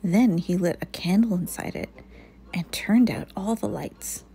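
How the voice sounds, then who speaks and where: Lady reading a kids story slowly, mellow voice bit creaky, expressive. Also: fairly low pitch, faint music behind. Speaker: storyteller reading a children's picture book aloud. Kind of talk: expressive read-aloud narration.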